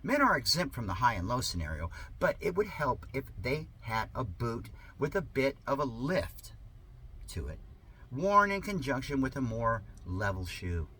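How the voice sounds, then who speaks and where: Guy reading satire super sarcastic, bright voice swooping up and down, bit of noise behind him. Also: medium pitch, sing-song, moderate pace. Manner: theatrical mock-earnest, exaggerated emphasis. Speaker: reader of a satirical article.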